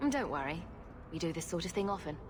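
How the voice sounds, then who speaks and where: An actress playing an unflappable, sarcastic young adventurer in a video game, with a dry, sardonic delivery. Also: female voice, on the low side, lively intonation, quick pace, background noise, natural voice. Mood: wry, casual.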